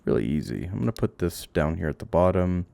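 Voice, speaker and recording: A man giving casual gameplay commentary, thinking aloud in a halting, rambling way and swearing now and then. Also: low-pitched, big pitch swings, gravelly, mellow. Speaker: game streamer. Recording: audible background noise, clicks.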